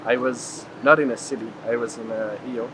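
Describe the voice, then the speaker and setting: Casting: male traveler from Hungary, casual rambling interviewee, mid pitch, clear ambient noise. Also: steady tone, moderate pace. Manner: frequent "like" filler, rambling. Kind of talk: casual chat.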